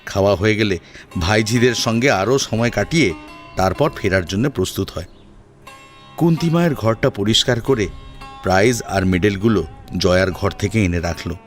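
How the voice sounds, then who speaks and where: A man's voice: lowish voice, normal speed, soft background music. A man narrating a scripted family drama as a storyteller, in a dramatic audio-story style.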